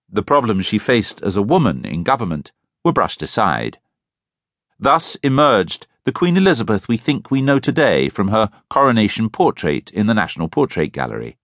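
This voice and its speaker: A man narrating a history audiobook in a fairly low voice that rises and falls with lively, expressive emphasis. His reading is measured and deliberate. The sound is dry and close, with no room echo, as from a studio booth. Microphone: close studio microphone.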